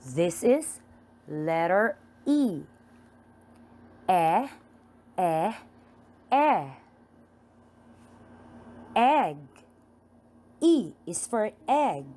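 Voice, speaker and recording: A woman with a Filipino accent teaching phonics to young children, enunciating letters and words in a deliberate, repetitive, sing-song way. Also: mid-range voice, big pitch swings, dark tone.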